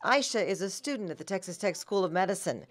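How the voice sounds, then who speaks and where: A woman's voice: mid-range voice, lively intonation, fast, background noise. A middle-aged American woman reading scripted TV news narration as an anchor and reporter.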